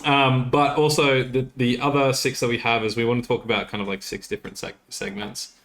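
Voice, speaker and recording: A man's voice: medium pitch, fairly even intonation, fairly quick, a bit bright. A young Australian man co-hosting a podcast in a casual, rambling way, often correcting himself as he goes. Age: young adult. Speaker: podcast co-host. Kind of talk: casual chat.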